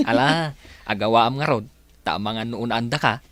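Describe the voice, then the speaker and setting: A man's voice: medium pitch, measured pace, fairly bright tone, no echo, close to an everyday voice. A man acting a friendly, jovial, encouraging married village man in an Ilocano radio comedy-drama, with an Ilocano accent. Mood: jovial, teasing.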